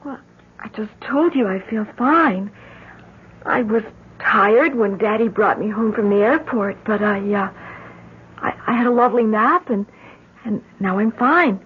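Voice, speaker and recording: An actress playing a fragile, confused young daughter in a 1970s radio drama, sweet and faltering in manner. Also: female voice, mid-range voice, unhurried, husky. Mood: dazed, pleased. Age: young adult. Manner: hesitant, faltering. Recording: tinny, narrow-band 1970s radio recording.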